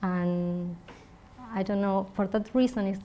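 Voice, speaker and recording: A woman with an Argentine Spanish accent speaks as an academic at a conference. Her delivery is slow and halting as she searches for words, and faint room noise sits behind her. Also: mid-range voice, fairly even intonation, dark tone. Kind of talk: academic talk and answering questions. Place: indoor university conference room. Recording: noisy conference room recording.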